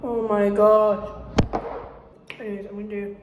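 A woman's voice: mid-range voice, level tone, unhurried, background noise. A young woman chatting to her phone camera in a casual, rambling, self-deprecating vlog, filmed in a small kitchen and a bare room. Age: young adult. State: out of breath, blocked nose. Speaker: vlogger. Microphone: phone camera propped nearby. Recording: echoey room.

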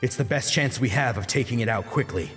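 A man's voice: mid-range voice, fairly quick, fairly bright tone, long reverb tail, faint music behind, natural voice. A man voicing a tense, commanding young swordsman in an audiobook, urgently giving orders. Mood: tense, tactical.

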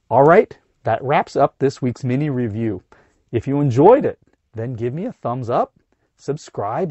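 A middle-aged man with a hoarse voice from a cold, reading a scripted camper van review inside a van. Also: medium pitch, dry recording, highs cut off. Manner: steady, informative, teleprompter reading.

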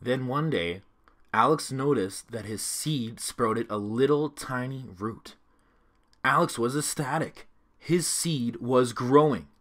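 A man narrating a children's storybook read-along with clear, expressive delivery. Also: medium pitch.

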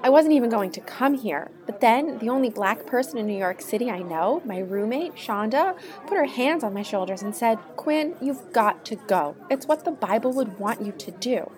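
A woman's voice: a little high, expressive, moderate pace, few pauses, a little mellow, a faint crowd, lightly acted. A woman playing a naive, devoted young sweetheart with earnest melodrama in a satirical Christmas radio play. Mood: earnest, helpless.

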